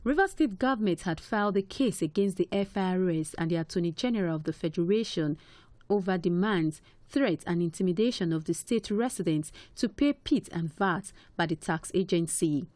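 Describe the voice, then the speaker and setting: A woman reporter reading a news report in a measured, formal voice. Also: on the low side, a little mellow, dry recording.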